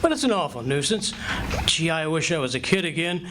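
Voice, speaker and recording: A man plays a folksy American husband from radio comedy, griping away in a highish, very animated voice. The live stage recording carries faint noise. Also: a little fast, close to an everyday voice. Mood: mild grumbling. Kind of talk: old-time radio comedy acting.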